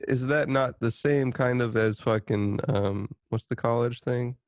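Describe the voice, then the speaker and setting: A man's voice: mid-range voice, fairly even intonation, a little slow, no room sound. A man co-hosting a podcast in casual chat, hesitant and rambling, repeating himself and swearing casually. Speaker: podcast co-host.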